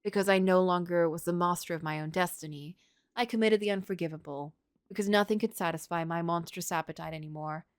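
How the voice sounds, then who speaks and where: A woman's voice: lowish voice, a little fast, clear, resonant tone, no room sound, studio quality, natural voice. A woman voicing a tormented, self-loathing middle-aged male writer in a brooding, melodramatic literary game monologue. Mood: brooding regret. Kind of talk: dramatic first-person reading of game story.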